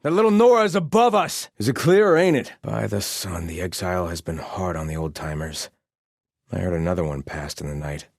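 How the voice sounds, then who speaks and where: An actor playing a haughty, devout soldier of a sun-worshipping empire in a video game, with a formal, disdainful delivery. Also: male voice, deep voice, animated, fairly quick, gravelly, no echo, clean studio sound, exaggerated voice. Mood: urgent battle shouting, then grim, disdainful. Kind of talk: scripted video game barks and lines.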